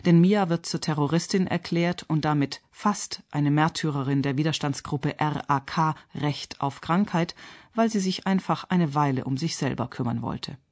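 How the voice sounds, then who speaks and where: A woman radio critic reading a scripted theatre review in an articulate, measured and pointed manner. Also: sits low, darkish tone.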